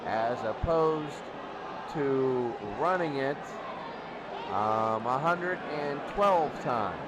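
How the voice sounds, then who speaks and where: A man's voice: medium pitch, lively intonation, extremely slow. A man commentating on sports, talking through team statistics off the cuff, with noise behind him. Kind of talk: unscripted statistics rundown before a game.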